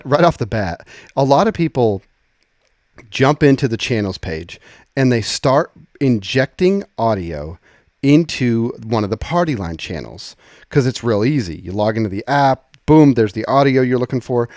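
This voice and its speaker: A man giving an informal, conversational software tutorial, explaining step by step and often rephrasing as he goes. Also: medium pitch, big pitch swings, moderate pace, no room sound, clean studio sound. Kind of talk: casual screen-share tutorial, explanatory.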